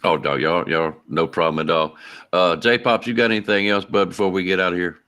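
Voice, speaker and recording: A man hosting a casual sports talk show, relaxed and friendly, rambling a little as he wraps up. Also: sits low, fairly quick. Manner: relaxed, friendly, laughs easily, rambling sign-off. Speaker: show host.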